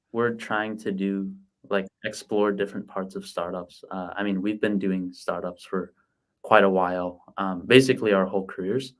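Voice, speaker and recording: A young man from Vietnam, a student guest, giving short, hesitant answers in a casual interview. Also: fairly low pitch. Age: young adult.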